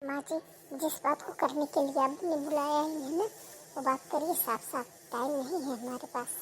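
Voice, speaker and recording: A woman's voice: high-pitched, fairly even intonation, normal speed, fairly bright tone, poor audio. A woman voicing a greedy, scheming young daughter-in-law in a cartoon, bossy and pushy. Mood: impatient. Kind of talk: Hindi cartoon voice acting.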